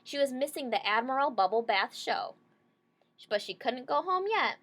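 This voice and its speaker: A woman's voice: high-pitched, big pitch swings, moderate pace, fairly bright tone. A woman reading a children's story aloud, stopping to explain things simply and check in with short questions. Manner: checks in with listeners using short tag questions.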